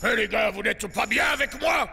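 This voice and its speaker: A man voicing a blustering, bossy, tantrum-prone space pirate captain in a children's cartoon, with a gravelly, theatrical bark. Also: high-pitched, moderate pace, in a large hall, crowd noise. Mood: bossy, menacing. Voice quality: gravelly, creaky. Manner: barking, theatrical, exaggerated.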